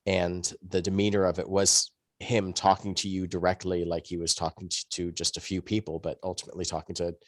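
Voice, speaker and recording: Man, low-pitched voice, dry close sound, conversational, rambling explanations. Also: level tone, fairly quick, hardly any pauses, dry recording. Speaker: podcast panelist. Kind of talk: conversational discussion.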